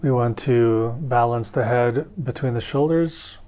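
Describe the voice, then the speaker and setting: A man teaching guided meditation in a calm, gentle, unhurried manner. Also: medium pitch. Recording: dull, muffled lo-fi audio.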